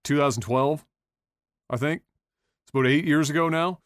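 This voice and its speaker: A man's voice: fairly high pitch, normal speed, dry recording, studio quality. A young man hosting a podcast in casual chat, rambling with lots of fillers, restarts and swearing. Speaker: podcast host.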